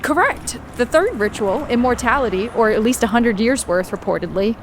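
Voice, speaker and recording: An actress in an audio drama playing a bookish, exacting tour guide who lectures precisely. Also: female voice, on the high side, lively intonation, normal speed, noisy background. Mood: instructive. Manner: precise, lecturing, curt corrections.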